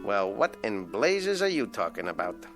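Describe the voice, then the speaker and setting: A man's voice: fairly low pitch, big pitch swings, moderate pace, creaky, music in the background. A man playing a sardonic, bullying ventriloquist's dummy who needles and wisecracks in a radio drama. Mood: scornful.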